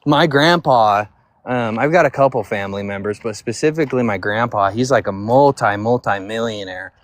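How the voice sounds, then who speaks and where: A man's voice: on the low side, moderate pace, no room sound. A man chatting casually on a live stream as a panelist, full of bro-and-dude slang.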